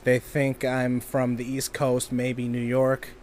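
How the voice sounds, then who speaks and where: A man's voice: medium pitch, completely flat delivery, takes it slowly, a little mellow, slight background noise. A young man from Minnesota, an amateur voice actor, chatting casually in a vlog with lots of ums and loose, self-deprecating asides. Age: young adult. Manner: rambling, filler-heavy, self-deprecating.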